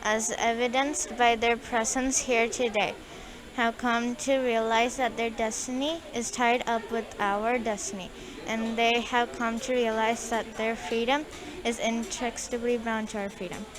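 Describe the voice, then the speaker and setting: A woman's voice: a little high, level tone, a little slow, poor audio. A female student reading a speech aloud. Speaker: student reader. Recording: crowd chatter behind.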